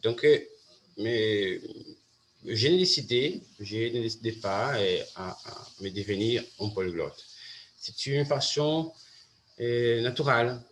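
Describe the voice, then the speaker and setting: Brazilian guy chatting slow, lots of restarts, bit of faint noise behind. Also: mid-range voice, lively intonation. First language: Portuguese. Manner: halting, many restarts and fillers. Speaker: host. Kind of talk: casual chat in a learned language.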